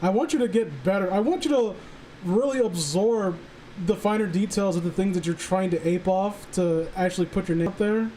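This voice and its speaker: A man chatting casually on a stream, halting and rambling as he earnestly works through his thoughts. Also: high voice, mellow, low-quality recording. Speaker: stream participant. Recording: faint background noise.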